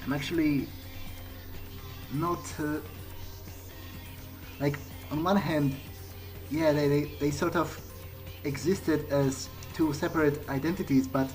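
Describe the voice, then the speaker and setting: A man acts out a bewildered fledgling vampire from a video game, thinking aloud. He speaks slowly and haltingly, searching for his words as he earnestly mulls things over. Music plays clearly behind him. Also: fairly high pitch, steady tone, a little mellow. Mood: pensive, unsure. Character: bewildered newly turned vampire, former programmer, musing earnestly. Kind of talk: in-character thinking aloud during a playthrough.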